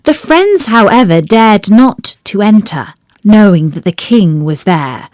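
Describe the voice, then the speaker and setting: A woman tells a classic fairy tale at an unhurried pace. Her pitch moves animatedly from phrase to phrase, and the recording is dry and close. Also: sing-song, darkish tone, dry recording. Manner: slow, clear storybook narration. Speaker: storyteller. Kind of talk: fairy-tale audiobook reading.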